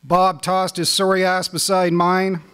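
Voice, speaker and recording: A middle-aged Canadian man performing as a spoken word poet on stage, with a wry, deliberate delivery. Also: sits high, level tone, fairly bright tone, clear, resonant tone. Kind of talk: live poetry recital with casual lead-in.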